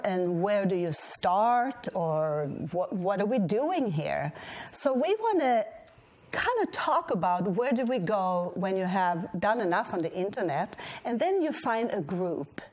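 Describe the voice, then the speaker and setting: A woman with a light Swedish accent hosts a relaxed talk show. She chats casually and loosely, often breaking off and restarting her sentences as she goes. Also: medium pitch. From Sweden. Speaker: host. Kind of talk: casual chat.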